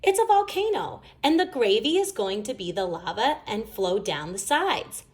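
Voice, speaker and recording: A woman's voice: fairly high pitch, big pitch swings, moderate pace, darkish tone, voice pushed up. A woman voicing a little girl bear cub in a children's storybook, eager and pleading. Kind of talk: children's storybook read-aloud.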